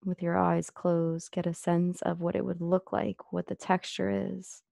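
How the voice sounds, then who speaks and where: A woman leading a guided meditation as an instructor, speaking gently and unhurriedly with long pauses. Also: on the low side, little pitch movement, creaky, no echo, studio quality.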